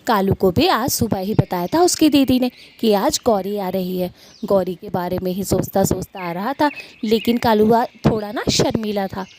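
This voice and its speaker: A woman's voice: medium pitch, background noise. A woman telling a scripted cartoon story as its narrator.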